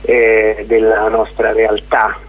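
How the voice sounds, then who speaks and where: A man talks slowly and hesitantly, often searching for words. His voice is on the low side and rough-edged. He sounds like an interview guest phoning in to a radio show, on a narrow telephone line. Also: completely flat delivery. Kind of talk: informal radio phone interview.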